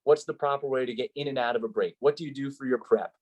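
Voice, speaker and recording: A man's voice: mid-range voice, fast, darkish tone. A man with an ordinary mid-range voice talks as an interviewed play-by-play announcer. He explains things conversationally and earnestly, putting emphasis on the points he cares about. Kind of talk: conversational interview, giving advice.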